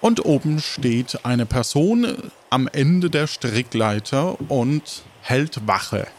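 A man's voice: medium pitch, lively intonation, slow pace. A man narrating an improvised adventure game as game master, in a casual conversational way.

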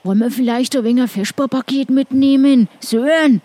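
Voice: sits high, a little fast, mellow, dry recording. A performer voicing a cheeky, charming pirate in improvised tabletop roleplay, chatty and joking. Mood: chatty, playful. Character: charming joking male pirate, hungry and grumbling good-naturedly.

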